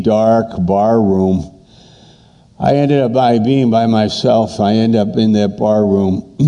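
A man preaching a sermon as a pastor, emphatic and repetitive, with pauses between phrases. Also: fairly low pitch, dark tone.

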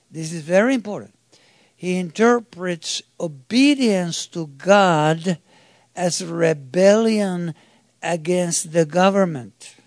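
A man preaches a sermon in a slightly high voice with a gravelly edge. He talks slowly, emphatic and halting, often restarting and putting questions to his listeners. The recording is dry and close. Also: dry recording. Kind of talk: sermon to a congregation.

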